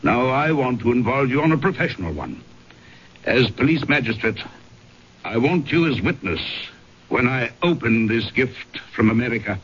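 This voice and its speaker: An actor playing a tormented, darkly sardonic aristocrat in an old-time radio drama, speaking in a formal, accusing way. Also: male voice, mid-range voice, animated, moderate pace, a bit bright, lightly acted. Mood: grave, ominous. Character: bitter, tormented aristocrat betrayed by his wife, darkly sardonic. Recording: muffled, noisy old radio broadcast.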